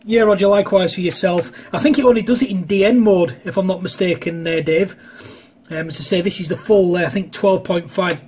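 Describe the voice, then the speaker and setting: High-pitched, husky man. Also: fairly even intonation, fairly quick, darkish tone. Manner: chatty, rambling, hesitant delivery. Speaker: amateur radio operator. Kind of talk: casual two-way radio chat. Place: indoors at a home radio station.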